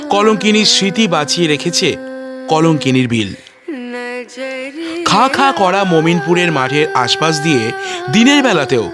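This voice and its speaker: A man narrating a story in a measured, literary and dramatic way, with strong emphasis. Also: sits high.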